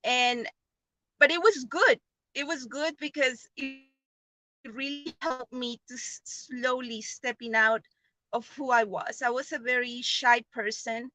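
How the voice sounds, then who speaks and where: A woman with a non-native English accent gives an informal personal testimonial in an earnest, halting way, often repeating phrases. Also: high-pitched, fairly even intonation, bright, forceful tone, no echo, low-quality recording. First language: not English. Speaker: online business member giving a testimonial.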